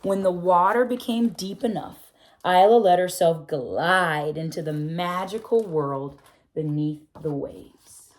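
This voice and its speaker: A woman reads a children's picture book aloud in a slightly low voice. She is expressive and drawn out, often slowing down to stretch her phrases. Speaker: read-aloud narrator.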